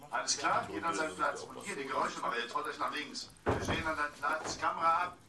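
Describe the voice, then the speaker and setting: A man plays a brusque, bullying radio-comedy director, heard in a very roomy space away from the microphone. His voice is fairly high and commanding, with a busy crowd around him. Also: quick pace, natural voice. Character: impatient, bullying male director ordering his studio crew. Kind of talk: comic radio drama acting. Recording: roomy, distant studio with crowd noise.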